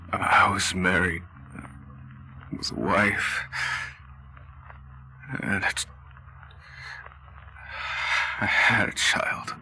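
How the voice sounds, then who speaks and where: A man voicing a grieving father in his thirties, a weary wasteland survivor, in a halting, dramatic roleplay monologue. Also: sits low, vocal fry, pushed and bright, slight background noise, full character voice, rough recording. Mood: sorrowful. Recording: background music under the voice.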